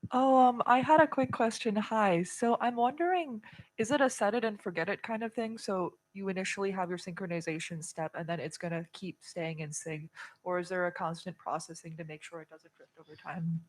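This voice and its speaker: Woman with a mid-pitched voice, asking casually. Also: steady tone, fairly quick. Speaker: audience member asking a question. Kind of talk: casual conference question.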